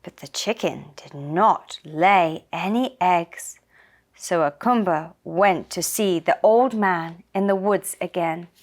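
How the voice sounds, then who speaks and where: Slow-paced woman telling a children's story. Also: fairly low pitch. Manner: simple, deliberate telling for small children. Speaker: puppet show storyteller. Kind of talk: scripted children's story narration.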